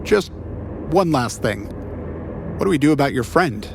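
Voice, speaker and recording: Wisecracking ex-cop detective played by a man, animated mid-range delivery, quippy, easily flustered, audio drama banter. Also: mid-range voice, moderate pace, gravelly, background noise. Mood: earnest. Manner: flustered stammering, flippant quips. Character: wisecracking, awkward male private eye, rough-edged ex-cop. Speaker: private detective character, former cop.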